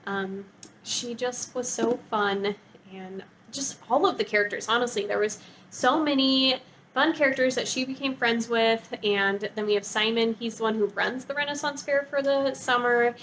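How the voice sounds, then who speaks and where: A woman's voice: on the high side, moderate pace, a bit bright, slight background noise, rough recording. A woman book vlogger talking casually and informally to her viewers, gushing and saying "like" often. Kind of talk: casual chatty book review to camera.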